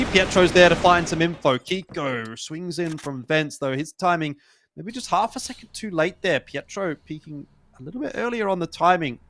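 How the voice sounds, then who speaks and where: A man of about thirty giving relaxed, analytical color commentary on an esports match, with dry jokes. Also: medium pitch, noisy background. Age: 30s. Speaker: esports co-caster.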